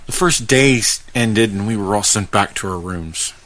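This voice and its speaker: A man narrating a first-person creepypasta in a conversational, halting way, with dramatic pauses as he goes. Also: lowish voice, fairly bright tone. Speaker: podcast host reading a horror story.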